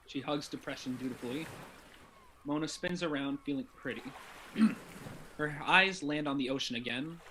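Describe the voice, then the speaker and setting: A man's voice: fairly high pitch, moderate pace, pushed and bright, a little hiss or hum, rough recording. A young adult man reading stage directions as scripted narration for a play.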